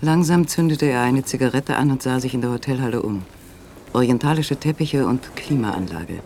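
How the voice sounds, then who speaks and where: A man's voice: medium pitch, moderate pace, gravelly, a little mellow, natural voice. A man reading scripted narration for a radio play, on a recording with faint noise.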